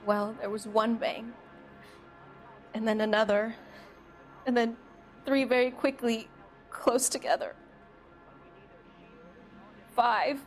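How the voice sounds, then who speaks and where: An actress playing a nervous, evasive young shop girl giving halting witness testimony in a video game. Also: a little high, flat delivery, soft background music. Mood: uneasy. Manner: hesitant, halting, plaintive. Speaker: witness being questioned by police. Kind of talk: video game dialogue acting.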